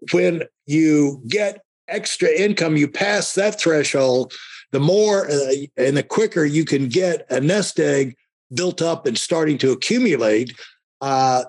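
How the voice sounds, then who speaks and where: A man hosting a conversational podcast interview, talking in a halting, rambling way and often rephrasing himself. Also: on the high side, darkish tone, husky.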